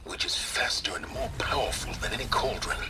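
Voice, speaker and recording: An actor playing a grave, steady warrior ally in a video game, speaking in a measured, serious way. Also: male voice, mid-range voice, in a whisper, natural voice. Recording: battle noise behind the voice. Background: game combat ambience.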